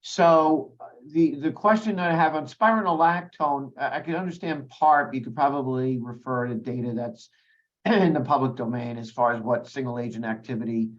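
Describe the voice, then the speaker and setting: A man, an analyst, asking questions on an earnings call in a halting, hedged way with restarts. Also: mid-range voice, highs cut off.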